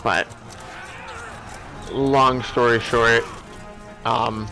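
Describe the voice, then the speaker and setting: Man, bright voice, casual rambling game commentary, hesitant, apologetic, faint music behind. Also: mid-range voice, flat delivery, extremely slow, creaky, poor audio. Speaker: let's play host. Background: video game cutscene music.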